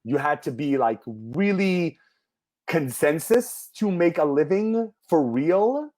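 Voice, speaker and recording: A man's voice: a little high, expressive, slow pace, a little mellow. Candid man in his thirties. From Israel. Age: 30s. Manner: candid, rambling, detailed. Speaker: guest singer. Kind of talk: casual interview storytelling.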